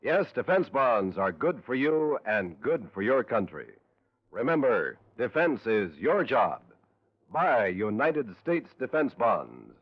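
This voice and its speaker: A man's voice: medium pitch, very animated, slow. A man delivering scripted promos as a 1950s network radio announcer. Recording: dull lo-fi old radio broadcast. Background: music.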